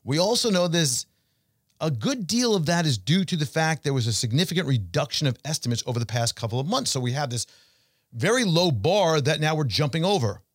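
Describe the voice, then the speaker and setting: A man's voice: a little high, animated, dry recording. Emphatic man talking money, dry close sound. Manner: emphatic, rhetorical questions, deliberate pauses. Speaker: financial show host and portfolio manager. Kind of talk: conversational investment commentary addressed to listeners.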